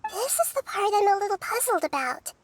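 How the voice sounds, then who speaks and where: Little girl deity, game character, very high voice, lively intonation, wise, composed, thoughtful. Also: brisk, a little hiss or hum. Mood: thoughtful, curious. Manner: explanatory, thoughtful, articulate. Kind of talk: voice-acted game story dialogue.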